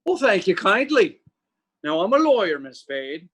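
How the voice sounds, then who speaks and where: An oily, fussy mob lawyer acted by a man in an old-time radio play. His voice is very high, sharp and animated, with mannered politeness and evasive hesitations. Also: a little slow, breathy edge, heavily acted. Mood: smooth, polite. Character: oily, fussy Irish lawyer fronting for mobsters.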